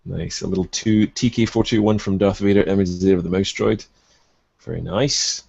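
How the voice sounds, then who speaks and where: A man hosting a casual chat about collectible toys, with a husky, gravelly voice. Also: low-pitched, steady tone, moderate pace, a little mellow, no echo, highs cut off. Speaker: podcast host.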